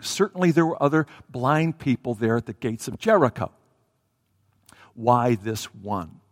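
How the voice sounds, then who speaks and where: A man preaching a sermon through a headset microphone, talking conversationally with fillers and restarts. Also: mid-range voice. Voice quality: self-described loud voice. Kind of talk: sermon with Bible reading and prayer. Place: church sanctuary. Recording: reverberant hall.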